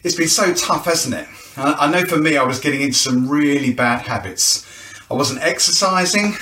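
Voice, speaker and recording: A man with a fairly high-pitched voice speaks in a very echoey room. He presents like someone making an informal promotional video, chatty and self-deprecating, often putting questions to the listener. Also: lively intonation, normal speed, sharp tone, far from the mic, a little hiss or hum. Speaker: church event presenter. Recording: echoey, roomy sound.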